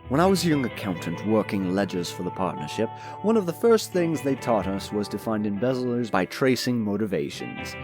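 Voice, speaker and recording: Man acting a jaded, low-voiced nobleman. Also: very animated, moderate pace, hardly any pauses, over music. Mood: musing, analytical. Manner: curt, dry, analytical. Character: jaded, condescending nobleman with supernatural skills, analytical and blunt. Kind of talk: dramatized audiobook dialogue.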